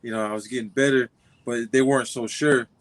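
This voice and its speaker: A young man from California talks loosely and unhurriedly, circling back on his thoughts with plenty of "you know" and "like." His voice sits at an ordinary middle pitch. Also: fairly even intonation, brisk. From Compton, California. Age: 20s. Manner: laid-back, rambling, many fillers and restarts. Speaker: football player guest. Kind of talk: casual interview.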